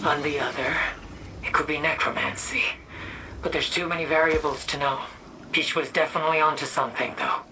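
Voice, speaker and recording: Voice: mid-range voice, fairly even intonation, fairly quick, background noise, lightly acted. A performer playing a friendly, focused quest-giver in a video game, briefing an ally in an earnest, conversational way. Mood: puzzled, analytical.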